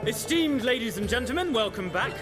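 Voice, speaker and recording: Very high-pitched formal auctioneer. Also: male voice, lively intonation, a little fast, music in the background. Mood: formal, proclaiming. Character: formal, brisk male auctioneer. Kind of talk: projected auction calling.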